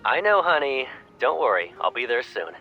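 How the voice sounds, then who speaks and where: A man acts out a young male pilot cadet, affectionate and teasing, in a romantic game story. His voice is mid-pitched for a man, with music behind it. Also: big pitch swings, normal speed, lo-fi sound. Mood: playful, affectionate. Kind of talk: romantic game fan dub acting.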